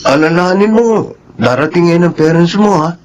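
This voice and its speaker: A man's voice: fairly high pitch, expressive, normal speed, a little hiss or hum, close to an everyday voice. A man playing a cheerful, supportive teenage foster brother in a radio drama. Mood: cheerful, teasing.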